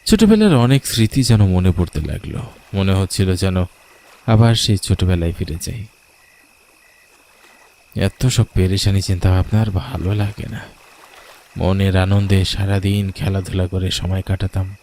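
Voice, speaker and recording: Low-voiced man narrating a horror story. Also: moderate pace, vocal fry, long pauses, dark tone. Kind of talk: scripted first-person horror story narration.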